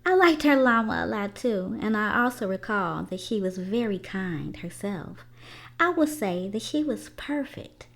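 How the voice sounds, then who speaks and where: A woman's voice: mid-range voice, animated, unhurried, gravelly. A woman voicing an eager, curious child from a children's storybook, chatty and musing. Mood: curious, fond.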